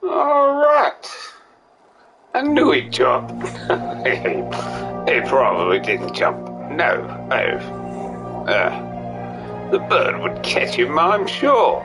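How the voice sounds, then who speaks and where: A man streams a game with casual, rambling commentary. His pitch jumps around a great deal while his pace stays slow. Game music plays faintly behind his whimsical asides. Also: huge pitch swings. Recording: game music behind, slight mic echo. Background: video game music.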